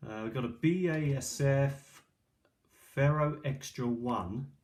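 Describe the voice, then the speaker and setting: A man's voice: mid-range voice, slow pace, a little mellow. A middle-aged man giving a casual, rambling show-and-tell at a kitchen table, hesitant and musing aloud, recorded on an old tablet's microphone. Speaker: video maker presenting old cassette tapes. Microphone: built-in microphone of an ageing iPad. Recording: clearly noisy tablet recording with clicks.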